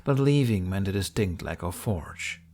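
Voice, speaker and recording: A man's voice: low voice, animated, normal speed, darkish tone. A man reading scripted audiobook narration of a story.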